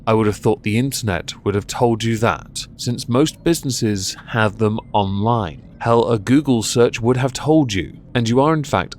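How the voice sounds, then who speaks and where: A man's voice: mid-range voice, a little hiss or hum. A man hosting a commentary on viral clips, talking in a sardonic, scolding way. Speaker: commentary show host.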